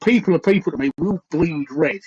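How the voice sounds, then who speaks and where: A man's voice: a little high, a little fast, darkish tone, slightly rough. Earnest Cockney man in his sixties. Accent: London Cockney. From East London, England. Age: 60s. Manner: earnest, rambling, repeats phrases as he thinks. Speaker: livestream host. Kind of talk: casual panel chat. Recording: dull lo-fi stream audio.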